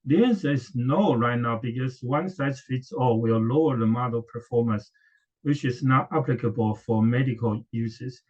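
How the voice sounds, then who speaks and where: A man's voice: medium pitch, moderate pace. A middle-aged man from Taiwan, a professor, presenting at an academic conference with frequent hesitations and restarts. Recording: dull lo-fi audio.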